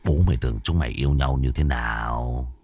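A man voicing a sadistic husband who sneers and gloats with low menace in a horror story. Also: brisk, a little mellow. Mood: menacing.

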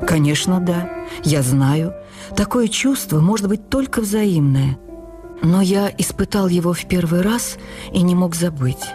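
A woman's voice: on the low side, expressive, unhurried, over music. Wistful, wry male writer voiced by a woman. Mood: dreamy, playful. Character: famous male writer, middle-aged, wistful, wry, playful. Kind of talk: audio drama memoir reading.

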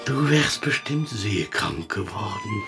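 A man's voice: on the low side, big pitch swings, takes it slowly, music in the background, full character voice. An actor in a radio play playing a melancholy, self-pitying old literary man who declaims, rambles and corrects himself. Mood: muddled, insistent. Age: older. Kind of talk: German radio play acting.

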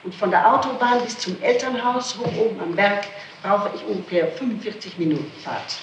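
A woman's voice: medium pitch, fairly quick. A woman answering questions as a suspect in a formal interrogation, emphatic and defensive. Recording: distant, noisy, echoey archival interrogation tape.

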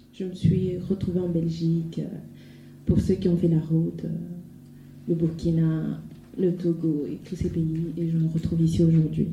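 A woman's voice: lowish voice, warm tone. A woman, giving a hesitant spontaneous testimony in public. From Ivory Coast. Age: 20s. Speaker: speaker giving personal testimony. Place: echoey room at a public event. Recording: muffled, noisy, echoey room.

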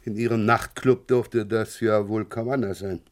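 A man playing an unhurried, exacting Paris chief inspector in a 1980s radio crime drama, questioning in a measured, formal way. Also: on the low side, fairly even intonation, lightly acted. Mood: polite probing.

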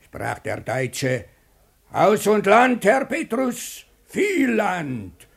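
Boisterous village jokester, wildly swooping. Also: male voice, sits high, wildly swooping pitch, drawn-out words, vocal fry, husky, exaggerated voice. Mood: boisterous, comic. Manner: theatrical, shouts, drops and draws out words. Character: boisterous village jokester reciting a folk tale. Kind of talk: German radio drama, comic recitation.

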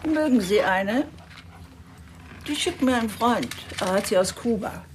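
A woman's voice: fairly high pitch, animated, unhurried, background noise. An actress playing an older, blunt and folksy village woman, self-assured and chatty, in a radio drama. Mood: blunt, feisty. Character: plucky old village woman, former guesthouse keeper, blunt and chatty.

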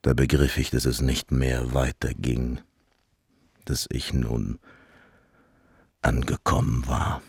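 An actor playing a brooding man who recounts a dark, surreal dream in a slow, hushed radio play monologue, with a gravelly deep voice. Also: little pitch movement, no echo, studio quality, heavily acted. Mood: dark, subdued. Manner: slow, hushed, breathy-intimate storytelling, often near whisper. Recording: loud music under the voice. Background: music.

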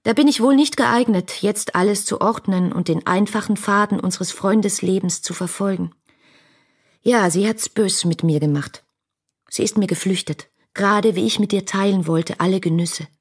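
A woman's voice: medium pitch, normal speed, breathy edge. An actress playing a young, rapturous woman writing letters to her beloved, poetic and intimate in a literary radio play. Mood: pensive, fervent, then wistful.

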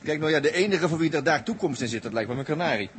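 A man's voice: a little high, animated, fast talker, natural voice. Chatty, sardonic spaceman, acted by a man. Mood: wry, reproachful. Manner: wry, chatty, needling. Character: talkative, sardonic male space traveller, impatient and bantering. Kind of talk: 1960s Dutch radio play acting. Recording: vintage radio recording with faint noise.

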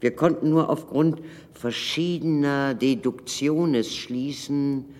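An older actor in a German radio drama playing a wry, learned former prisoner, speaking formally and with measured dramatic pauses. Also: male voice, medium pitch, a little mellow, lightly acted. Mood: rueful. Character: learned former prisoner, wry doctor recounting his past. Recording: reverberant room, faint music underneath.

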